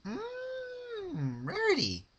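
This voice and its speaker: A man's voice: very high-pitched, huge pitch swings, very slow, background noise, pitched above the performer's own voice, exaggerated voice. A man voicing a bubbly, childish, sadistic female cartoon pony with a sing-song, taunting manner. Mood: gleeful menace.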